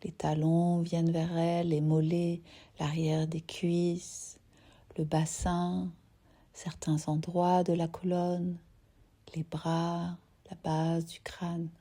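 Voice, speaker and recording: A woman teaching yoga nidra, guiding a relaxation gently and unhurriedly in short phrases with soft, breathy endings. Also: on the low side, completely flat delivery, slow. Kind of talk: guided relaxation meditation.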